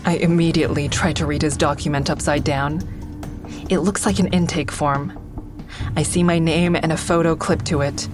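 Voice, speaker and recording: Actress as low-voiced, sardonic, defensive woman comedian. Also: fairly even intonation, normal speed, music in the background, natural voice. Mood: wounded. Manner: wry, guarded, confessional. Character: defensive, sardonic woman comedian under police questioning, narrating inwardly. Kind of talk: full-cast audio drama acting with first-person narration.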